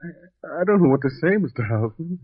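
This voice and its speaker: An actor playing a humble, grateful young country man, speaking haltingly and earnestly in an old radio drama. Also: on the high side, animated, breathy edge, dry recording, faint music behind. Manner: halting, earnest, folksy ungrammatical speech. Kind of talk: 1950s radio drama acting. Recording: tinny, phone-like old radio recording.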